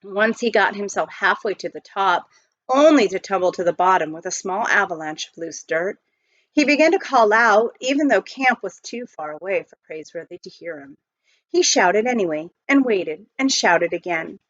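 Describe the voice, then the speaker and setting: A woman reads a children's novel aloud as an expressive narrator. Her intonation swings widely around a medium pitch. The sound is dry and a little muffled. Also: normal speed, no room sound. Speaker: storybook reader.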